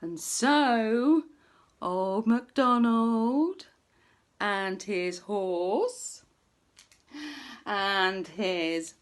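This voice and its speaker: A woman telling a children's story expressively, often dropping to a hush for suspense. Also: mid-range voice, extremely slow, long pauses.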